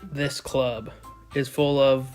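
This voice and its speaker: A man voicing a poised, confident teenage girl who runs a school club, in a composed, measured way. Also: mid-range voice, fairly even intonation, darkish tone, soft background music, natural voice.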